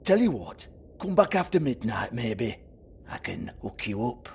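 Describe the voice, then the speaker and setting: An actor playing a sleazy, foul-mouthed strip club owner with a British accent in a video game, mocking and volatile. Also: male voice, mid-range voice, sing-song, unhurried, husky, heavily acted. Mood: sly, insinuating. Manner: sneering, taunting, swearing.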